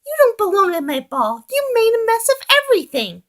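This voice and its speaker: A woman voicing a young female pig in a storybook reading, high and emphatic. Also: sing-song, measured pace, fairly bright tone, exaggerated voice. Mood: angry. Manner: high, sing-song and emphatic. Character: young female pig, fussy yet kind.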